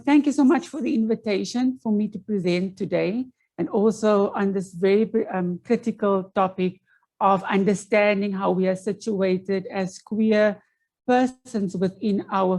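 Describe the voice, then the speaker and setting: A woman with a South African accent, Afrikaans her first language, giving an earnest, measured talk over a video call from home, with frequent fillers and restarts. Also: mid-range voice, fairly even intonation, darkish tone. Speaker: panel presenter. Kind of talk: online conference presentation.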